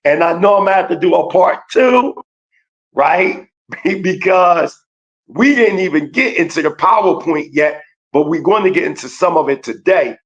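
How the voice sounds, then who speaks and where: Man, slightly raised pitch, slow emphatic delivery, repeats phrases. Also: animated, muffled recording. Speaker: guest teacher. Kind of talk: preaching-style lecture on a livestream.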